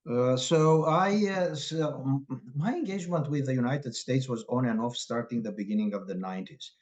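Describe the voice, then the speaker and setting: A middle-aged man from Romania with a Romanian accent, speaking haltingly with many filler pauses as a guest scholar in an interview. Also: mid-range voice, a little mellow. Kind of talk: academic interview.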